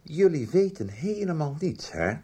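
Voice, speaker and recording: Radio soap role: older male publisher, husky, animated intonation, halting confessional storyteller. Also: on the high side, exaggerated voice. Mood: grave, pointed. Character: older male book publisher recounting a guilty past. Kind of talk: radio drama dialogue, reminiscing.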